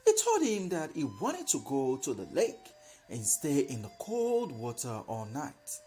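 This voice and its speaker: A woman with a Nigerian accent narrating a bedtime folktale as a storyteller, expressive and deliberate. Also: very deep voice, faint music behind.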